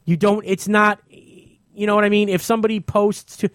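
A man's voice: high voice, flat delivery, moderate pace, clear, resonant tone, dry recording, clean studio sound. A man hosting a radio show as a comic, explaining things insistently in casual chat.

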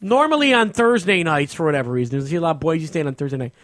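A man's voice: a little high, expressive, fast talker, fairly bright tone, no room sound, clean studio sound. An American man on a casual sports talk show, ranting in an exasperated, emphatic way and repeating himself. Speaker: podcast host.

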